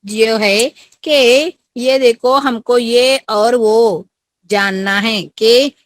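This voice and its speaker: A woman's voice: on the high side, drawn-out words, no room sound. A woman teaching an online class to schoolchildren over a video call, coaxing them and repeating her prompts, with an Indian accent.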